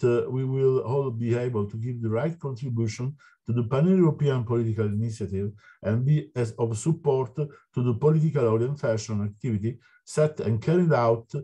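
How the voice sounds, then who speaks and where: A man's voice: medium pitch, dark tone, breathy edge. Italian man reading a formal speech. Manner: formal, slightly halting reading. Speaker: board member. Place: indoor office with wooden wall. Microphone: video call.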